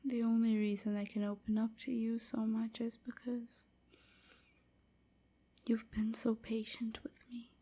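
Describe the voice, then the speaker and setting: Casting: woman to play submissive, wounded female vampire slave for whispered ASMR roleplay; very slow, even, breathy, halting delivery. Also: a little high. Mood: tender, grateful. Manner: slow, halting, hushed confiding.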